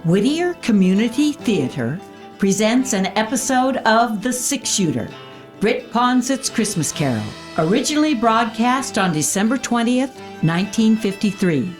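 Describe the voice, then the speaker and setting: A woman's voice: fairly high pitch, sing-song, moderate pace. Woman announcer over faint guitar. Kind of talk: scripted radio-play introduction. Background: faint guitar music.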